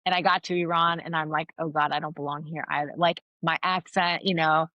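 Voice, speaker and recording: Woman, middle-aged, low voice, earnest, thoughtful, restarts often, first language Farsi, dry close sound. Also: steady tone, fairly quick, dry recording, highs cut off. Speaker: guest, anthropologist and author. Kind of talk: reflective interview answers.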